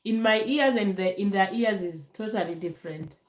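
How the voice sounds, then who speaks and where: A woman from Kenya hosting a casual live Q&A, calm and unhurried, laughing often, still getting over a bad cold. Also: mid-range voice, echoey room, rough recording. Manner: calm, slow, laughs often, many fillers. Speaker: livestream host. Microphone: phone held to camera.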